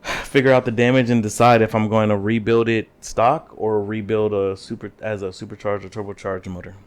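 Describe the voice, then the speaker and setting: A man co-hosting a podcast, chatting casually with friends and teasing them deadpan. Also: on the low side, brisk, clean tone. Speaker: podcast co-host. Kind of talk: casual chat.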